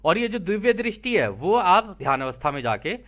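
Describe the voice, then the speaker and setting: A man's voice: high-pitched, big pitch swings, normal speed, fairly bright tone. A man teaching spiritual material, reading aloud and explaining it informally, checking in with listeners through rhetorical questions.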